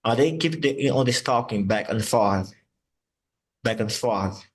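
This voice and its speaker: A man whose first language is Portuguese, teaching English and slowly repeating words to model their sounds. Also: lowish voice. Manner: slow, patient, repeats words to model pronunciation. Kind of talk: online English lesson.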